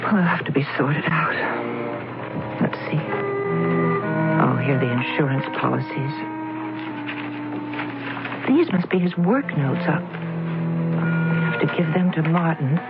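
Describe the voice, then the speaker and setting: A newly widowed woman who refuses the easy explanation of her husband's death, pressing her questions with tense insistence. Her voice comes through a tinny, narrow old radio broadcast. Loud music sits behind her. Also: deep voice, animated, slow pace, long pauses, full character voice. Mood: wondering. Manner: tense, questioning, reads a letter aloud. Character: grieving widow of a scientist, suspicious and insistent. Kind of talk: 1970s radio drama acting.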